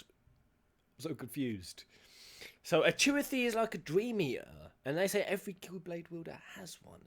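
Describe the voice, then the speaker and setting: A man giving casual, slangy live reaction commentary as a streamer, musing aloud as he watches game cutscenes. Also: a little high. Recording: game music behind the voice.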